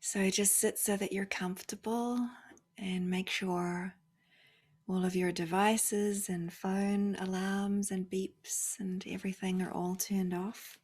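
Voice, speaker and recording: A woman leading a guided meditation, softly and gently, often hushed to a near-whisper, with long pauses between phrases. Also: medium pitch, little pitch movement, husky.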